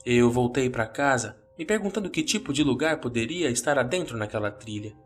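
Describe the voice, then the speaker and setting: A Brazilian man narrates a scripted horror tale in the first person. His voice sits at an average male pitch, with soft background music underneath. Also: expressive, a little fast, husky.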